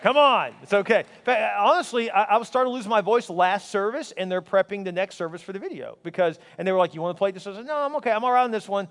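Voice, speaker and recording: High-voiced man preaching in a reverberant hall. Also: quick pace, few pauses. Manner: energetic, conversational preaching with quips to the congregation. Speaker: pastor. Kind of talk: sermon. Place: large church auditorium.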